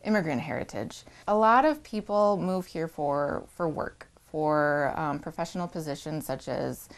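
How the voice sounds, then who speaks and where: A woman's voice: deep voice, expressive, a little slow. A woman who directs a tourism bureau answers interview questions in a thoughtful, articulate way.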